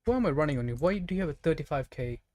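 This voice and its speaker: A man's voice: a little high, animated, rapid-fire, darkish tone. A man giving casual live gameplay commentary, chatting playfully to the characters and enemies as he plays. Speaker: video game streamer.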